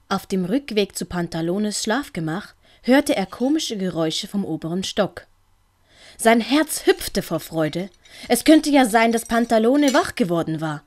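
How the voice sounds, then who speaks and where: A woman's voice: medium pitch, animated, measured pace. A woman reading scripted story narration as the narrator of an audio play. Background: music.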